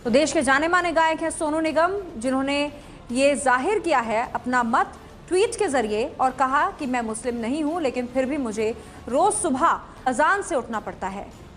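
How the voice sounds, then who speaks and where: An Indian-accented woman presents a TV news report in a high voice. She reads as a news anchor does, with faint music running underneath. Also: animated, normal speed, fairly bright tone.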